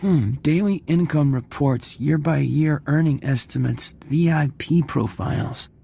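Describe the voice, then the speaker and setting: A man's voice: on the high side, unhurried, gravelly, warm tone, slightly rough, full character voice. A man voicing a nervous, eager computer slicer in a video game, flustered and stammering as he mutters to himself. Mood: absorbed muttering.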